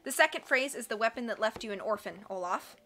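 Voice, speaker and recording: A woman's voice: fairly high pitch, fast, faint music behind. A woman reading a timid, bookish librarian man. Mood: nervous calm. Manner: quiet, trying to sound calm. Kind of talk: audiobook character voice.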